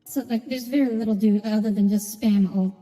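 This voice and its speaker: Very mellow, soft-toned woman gaming. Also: mid-range voice, fairly even intonation, fairly quick. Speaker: gaming streamer playing through a story quest. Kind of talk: casual gameplay commentary mixed with reading story text aloud. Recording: reverberant sound with music behind. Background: game music.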